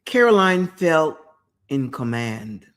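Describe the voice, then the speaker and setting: Slow, lively motivational woman host. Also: low-pitched. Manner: emphatic, repeats words for effect, addresses listener directly; storytelling with quoted inner thoughts. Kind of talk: motivational talk.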